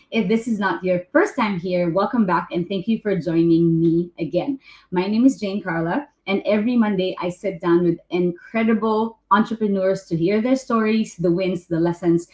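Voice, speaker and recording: A woman's voice: on the low side, darkish tone. Echoey-sounding Filipino woman hosting an interview. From Philippines. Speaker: host. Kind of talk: hosting a live online interview. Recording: echoey room.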